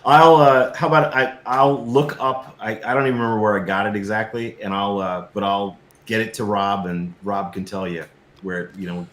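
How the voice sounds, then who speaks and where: A man's voice: fairly low pitch, fairly even intonation, moderate pace, a bit bright. A man speaking as a guest in a casual interview, earnest, often repeating words as he starts his sentences. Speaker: guest filmmaker. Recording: roomy echo, background noise.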